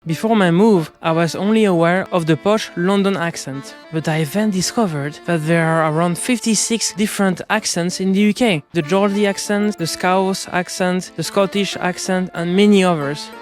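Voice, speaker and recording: A man with a French accent, hosting street interviews and narrating between questions in a clear, deliberate way. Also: high-pitched. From France. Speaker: host.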